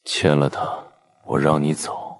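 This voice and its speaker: An actor playing a ruthless, possessive crime boss in an audio drama, terse and menacing, with a husky, breathy voice. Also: male voice, a bass voice, monotone, unhurried. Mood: cold, commanding. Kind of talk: scripted audio drama acting.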